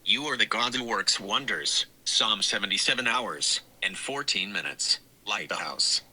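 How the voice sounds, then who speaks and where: A man's voice: lowish voice, lively intonation, a little slow, bright, forceful tone, breathy edge, low-quality recording. A synthetic man's voice reading text and descriptions aloud, like a screen reader.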